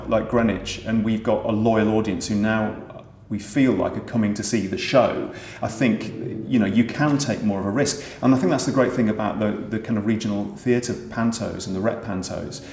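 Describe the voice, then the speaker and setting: A man's voice: fairly low pitch, darkish tone. A man answering interview questions about pantomime, hesitant with many restarts. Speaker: pantomime writer and director. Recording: echoey room with faint noise.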